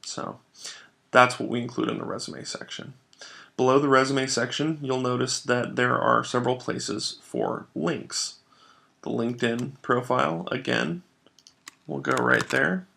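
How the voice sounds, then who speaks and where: A man narrating an instructional software tutorial, explaining things step by step in an informal way. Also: mid-range voice, steady tone, a little slow, creaky. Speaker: software trainer.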